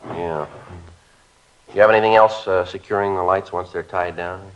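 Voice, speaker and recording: An actor playing a calm, terse police sergeant in a 1950s radio crime drama, asking flat, matter-of-fact questions. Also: male voice, low voice, moderate pace, slight background noise, close to an everyday voice. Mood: calm questioning. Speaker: detective narrator. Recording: muffled old radio recording.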